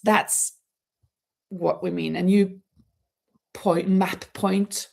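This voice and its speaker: Norwegian lady chatting on her live from home, slow and gravelly, laughs a lot. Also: lowish voice, steady tone, darkish tone. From Norway. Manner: chatty, laughs often, restarts words. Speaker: livestream host. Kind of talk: casual chat reading viewer comments. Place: home, near a terrace.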